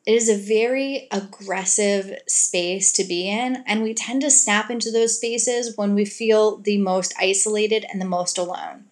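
A woman at a mid-range pitch talking her listeners through relationship boundaries, earnest and gently coaching. She slips into soft, affectionate example lines to a partner and then returns to plain advice. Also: steady tone, a little slow, few pauses. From grew up in a Greek family. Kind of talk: advice talk to an audience.

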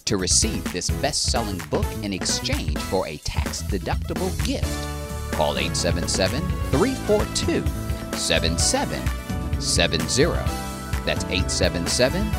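A woman reads an inspirational advert in a remarkably deep voice. Her delivery is slow and solemn, with big swings in intonation. Loud music plays behind her. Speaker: advert announcer. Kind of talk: scripted inspirational book advert.

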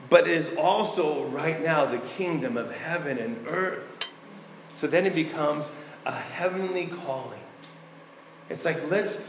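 A man's voice: on the high side, slight background noise. A male pastor preaching a sermon in a conversational way, with asides and restarts. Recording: echoey hall, faint ambience.

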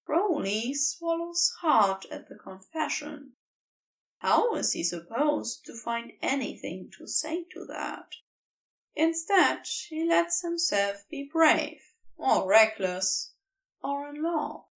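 A woman narrates romantic fiction in a tender, storytelling way. Her pitch sits a little high and moves with lively swings, and she takes her time over each phrase. The recording places her slightly away from the microphone. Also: takes it slowly, vocal fry, far from the mic, low-quality recording. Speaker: amateur fan fiction narrator.

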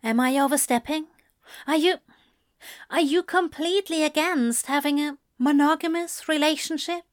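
Woman voicing an anxious, earnest, high-voiced angel man. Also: natural voice. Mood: blunt, anxious. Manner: hesitant, earnest, halting. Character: anxious, earnest male angel, quietly blurting.

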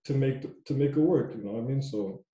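A man's voice: mid-range voice, brisk, warm tone, roomy sound. A young man coaching youth basketball, talking casually in a loose, repetitive way. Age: young adult. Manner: loose, repetitive, says "you know what I mean" a lot. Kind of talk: casual podcast chat.

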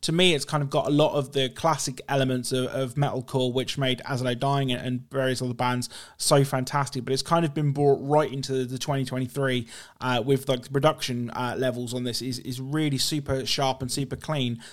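A man's voice: mid-range voice, fairly even intonation, brisk. A man interviewing a musician in casual chat, enthusiastic, leaning on "you know" and "absolutely".

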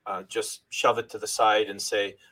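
A man's voice: lowish voice, flat delivery, moderate pace, pushed and bright. A middle-aged man originally from Lebanon, a guest in an interview, telling his life story earnestly and thanking God often, with frequent restarts.

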